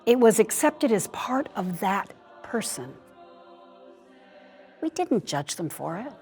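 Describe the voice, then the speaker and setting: An actress playing an earnest, reflective senior woman, speaking deliberately in an expressive dramatic monologue. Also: mid-range voice, soft background music. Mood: earnest, impassioned. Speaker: actor reading seniors' writing.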